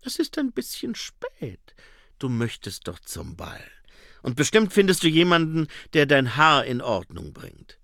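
A man doing an earnest young editor with firm, stiffly correct phrasing, pitched a touch above the narration. His delivery is lively and dry. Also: a little slow, creaky, no echo, exaggerated voice. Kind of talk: audiobook reading.

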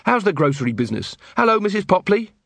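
A male narrator plays a young, unflappable watchman for a storybook. He speaks in measured, officious phrases with earnest politeness. His pitch sits high and moves freely, with a slightly husky grain. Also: very animated, fairly bright tone, dry recording, heavily acted. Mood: earnest, polite.

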